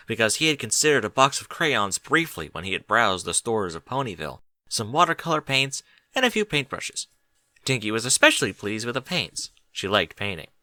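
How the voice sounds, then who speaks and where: A man reading a story aloud as an expressive audiobook narrator. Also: mid-range voice, dry recording.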